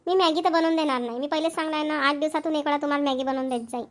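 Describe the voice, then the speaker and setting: A woman's voice: sits high, steady tone, rapid-fire, close to an everyday voice. A woman playing a nagging village mother in a cartoon family comedy, with a Vidarbha Marathi accent. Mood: firm scolding. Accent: Maharashtrian, Vidarbha Marathi. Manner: nagging, scolding. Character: scolding village mother of bickering children.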